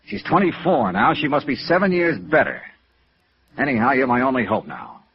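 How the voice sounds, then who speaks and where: An American actor playing a world-weary insurance investigator in 1950s radio noir, clipped, matter-of-fact and pressing. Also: male voice, medium pitch, big pitch swings, moderate pace, lightly acted. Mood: persuasive. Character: world-weary, persistent male insurance investigator narrating his case. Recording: dull vintage radio recording.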